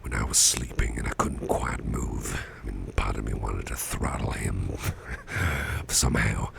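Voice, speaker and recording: Man acting as tired businessman boss, ASMR roleplay, slow murmured delivery, breathy gravelly near-whisper, courteous, folksy, clearly noisy background. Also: very deep voice, heavily acted. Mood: puzzled, confiding. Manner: unhurried, murmured, folksy and hesitant. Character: weary, courteous businessman boss, recuperating and chatty. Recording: clearly audible background noise.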